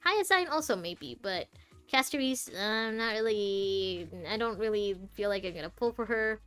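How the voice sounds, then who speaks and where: A woman's voice: mid-range voice, lively intonation, moderate pace, fairly bright tone, smooth, faint music behind. Rambling woman game streamer, casual. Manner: rambling, thinking aloud. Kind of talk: casual chatty playthrough commentary.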